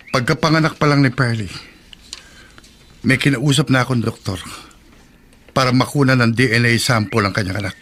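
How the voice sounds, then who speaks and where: Radio drama: male actor as a firm, commanding politician father, mid-pitched, faint hiss behind. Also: a bit bright, natural voice.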